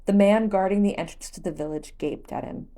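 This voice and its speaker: A woman's voice: mid-range voice, fairly quick, warm tone. A woman reading a story aloud as scripted audiobook narration. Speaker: audiobook narrator of fan fiction.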